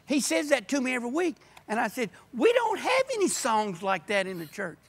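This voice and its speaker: Older man, high-pitched, passionate and emphatic, guest in a church podcast chat. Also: very animated, moderate pace, slightly rough. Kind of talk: earnest conversation. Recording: podcast audio.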